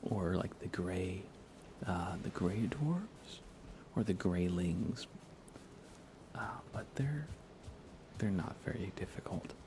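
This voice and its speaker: A man speaking in a soft, breathy whisper, giving unhurried, gently playful ASMR commentary while he plays a video game for viewers. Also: low-pitched, expressive, background noise. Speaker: livestreamer playing a video game.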